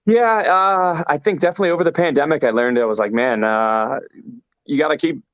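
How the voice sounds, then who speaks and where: A man's voice: a little high, brisk, fairly bright tone, no room sound. Joking man, dull lo-fi call audio. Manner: joking, casually profane. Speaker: band vocalist, interview guest. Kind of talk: casual banter on an online music show.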